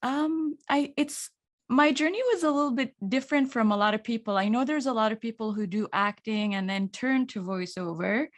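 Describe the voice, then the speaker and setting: A woman's voice: on the high side, moderate pace. A woman from Saudi Arabia with a Saudi Arabian accent, an actor and audiobook narrator talking thoughtfully in an interview, often restarting her sentences. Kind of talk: casual interview talk.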